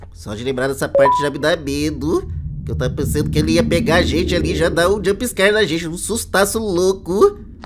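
A man's voice: on the high side, very noisy, close to an everyday voice. A man voicing a cheerful childlike cartoon sponge puppet, chatting playfully in Brazilian Portuguese as he hosts reactions. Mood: chatty. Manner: playful, repeats catchphrases to little friends. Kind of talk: casual reaction commentary.

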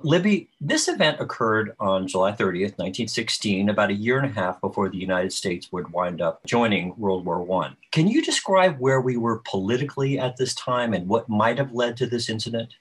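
A man hosts a panel discussion over a video call. His voice is fairly low and husky, and he moderates in a conversational style. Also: expressive, moderate pace, hardly any pauses. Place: indoor room, on a video call. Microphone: video call microphone.

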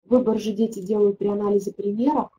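A woman's voice: mid-range voice, steady tone, fast, warm tone, rough recording. A middle-aged woman, a teaching methodologist, leading a webinar and answering viewer questions, thinking aloud with frequent hesitations.

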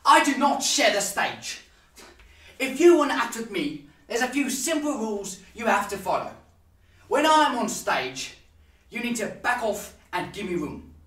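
A young man playing a vain, imperious stage actor in a drawn-out theatrical monologue. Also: high voice, big pitch swings, fairly bright tone, pitched above the performer's own voice, exaggerated voice. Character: pompous vain stage diva actor barking rules. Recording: roomy, distant mic with noise.

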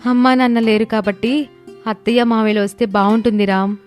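A woman voicing a sweet, dutiful young daughter-in-law in an animated moral story. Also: fairly high pitch, a little fast, faint music behind, lightly acted. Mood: gentle.

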